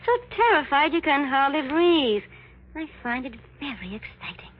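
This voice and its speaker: A woman's voice: high voice, expressive, normal speed. An actress playing a sinister, seductive conjure woman with a commanding, taunting manner in a 1940s radio thriller. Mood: gloating. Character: sinister seductive conjure-woman villainess, menacing and coolly amused. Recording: dull old-time radio recording.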